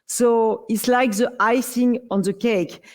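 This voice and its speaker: A woman's voice: fairly high pitch, steady tone, slow pace, darkish tone. A woman from France with a French accent, giving an upbeat, explanatory tech talk on a large conference stage through a stage microphone. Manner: upbeat, explanatory, playful comparisons. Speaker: conference speaker.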